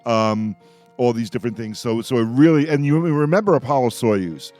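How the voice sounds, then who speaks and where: A man's voice: mid-range voice, moderate pace, darkish tone, soft background music. A middle-aged or older man moderating a casual radio discussion, often repeating words as he searches for the next one.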